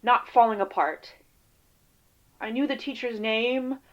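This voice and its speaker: A haunted field agent with a fairly high voice. She is weary and guarded, talking in halting, casual phrases as in an audio drama. The recording is a little dull. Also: fairly bright tone. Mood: uneasy, hesitant. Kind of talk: audio drama interview acting.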